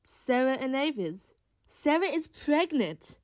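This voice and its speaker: An actress playing a chatty, matter-of-fact team member in an audio drama, casual and explanatory. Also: female voice, high-pitched, sing-song, takes it slowly, a little mellow, no echo, heavily acted.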